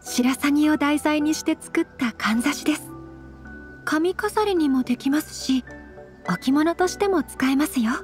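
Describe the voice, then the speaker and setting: Casting: refined young lady for an anime game, high-pitched, formal, gentle and composed. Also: unhurried, over music. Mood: gracious. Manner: very formal honorific speech, composed and courteous. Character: polite, refined, gentle young noblewoman of fantasy Japan. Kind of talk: Japanese anime game voice acting.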